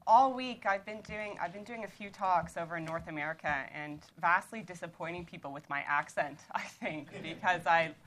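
A woman's voice: on the low side, a bit bright, a little hiss or hum. Canadian woman giving an explanatory talk. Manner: measured, explanatory, with occasional restarts. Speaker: author and panel speaker. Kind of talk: lecture-style talk.

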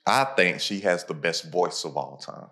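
Low-pitched male podcast host, casual and motivational. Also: normal speed, pushed and bright. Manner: motivational, talks in repeated punchy phrases. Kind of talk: casual conversational podcast talk.

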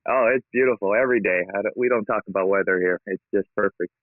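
A man's voice: fairly low pitch, fast, no echo. A man from Hawaii, a real estate investor guest, talking casually and upbeat with frequent laughs over a call. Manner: upbeat, laughs often, energetic. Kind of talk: casual interview talk. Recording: tinny phone-like line, dry.

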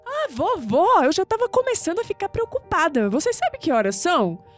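A woman playing a wry, caring young sceptic in an expressive audio drama performance. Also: very high-pitched, normal speed, soft background music. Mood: caring, exasperated.

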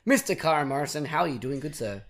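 A young man hosting a podcast in casual chat, his voice husky and raspy from a cold and singing, rambling and self-deprecating. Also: fairly high pitch, expressive, fast talker. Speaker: podcast host.

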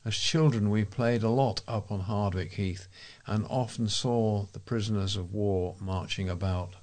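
Low-voiced English man reading local news. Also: fairly even intonation, a little slow, a little mellow. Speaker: volunteer newsreader and presenter. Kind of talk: reading local newspaper items and announcements aloud.

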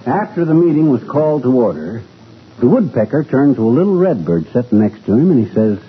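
A man's voice: mid-range voice, big pitch swings, brisk, slightly rough. A man playing a folksy, blustery middle-aged husband who jokes and spins yarns in a 1940s radio comedy. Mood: storytelling. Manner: folksy, colloquial, chuckling. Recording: tinny, noisy old radio recording.

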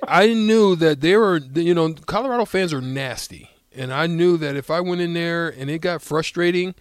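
A man near fifty banters as a sports radio co-host. His pitch is a little above average and the studio sound is dry. His phrasing is colloquial and folksy, with words repeated as he goes. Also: no room sound.

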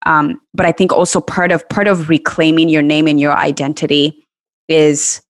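A low-pitched woman from Kenya co-hosting a podcast, talking over the words in a relaxed, reflective way. Her voice sits close to the mic in a dry, dead room. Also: moderate pace, dry recording. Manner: warm, affirming, reflective. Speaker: podcast co-host. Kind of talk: casual podcast conversation.

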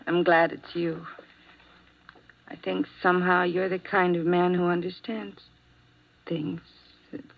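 Wistful, fragile nurse on muffled old radio. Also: female voice, lowish voice, little pitch movement, measured pace, vocal fry, long pauses. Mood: wistful, confiding. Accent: American. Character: lovelorn, resigned young female nurse confessing, wistful and fragile. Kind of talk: 1950s radio drama acting. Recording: muffled vintage 1950s radio recording.

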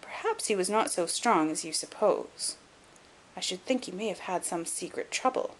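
Woman reading as a lively, shrewd gentleman detective. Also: fairly low pitch, slight background noise, natural voice, rough recording. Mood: composed, polite. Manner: measured, articulate, dry. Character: shrewd, composed gentleman detective, dryly superior. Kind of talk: audiobook character acting.

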